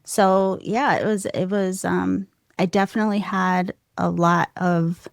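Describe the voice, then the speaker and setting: Soft-voiced woman, candid and laughing. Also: fairly low pitch, measured pace, creaky, no room sound, studio quality. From United States. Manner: laughs often, animated and self-deprecating. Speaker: podcast guest. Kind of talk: candid conversational storytelling.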